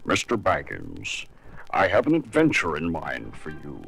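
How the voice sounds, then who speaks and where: A man playing a wise old wandering wizard, calm, measured and reassuring, on a children's storybook record. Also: a bass voice, vocal fry, breathy edge, faint music behind, heavily acted.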